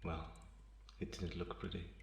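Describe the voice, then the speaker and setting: Slow, deep-voiced man playing a brooding male vampire. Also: little pitch movement, creaky. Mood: calm, softly amused. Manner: unhurried, pausing, softly amused. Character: calm, teasing male half-vampire rescuer, darkly restrained. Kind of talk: slow ASMR roleplay monologue. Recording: roomy echo, faint background noise.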